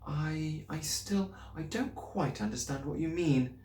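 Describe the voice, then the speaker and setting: A man doing a kind, gentle schoolteacher. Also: medium pitch, wildly swooping pitch, normal speed, voice pushed up. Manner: gentle, patient, softly questioning. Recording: roomy, distant mic.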